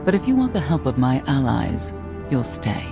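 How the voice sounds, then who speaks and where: A solemn, enigmatic female envoy with a creaky voice. The phrasing is formal and measured, in video game style, over clearly heard music. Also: extremely low pitch, sing-song, heavily acted. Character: enigmatic, solemn go-between with mystical allies. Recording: loud music underneath.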